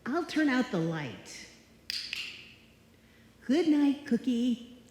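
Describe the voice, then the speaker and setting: A woman's voice: high-pitched, sing-song, very slow, a little mellow, slight background noise, heavily acted. A woman playing a sensible, wry, exasperated roommate in live old-time radio comedy style. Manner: wry, sarcastic. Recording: echoey hall, live stage performance.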